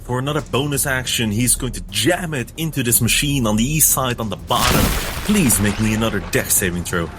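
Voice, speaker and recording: A man's voice: mid-range voice, animated, a little fast, few pauses, background noise. A man playing a tabletop role-playing game, describing his character's actions in the third person. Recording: background music under the voice.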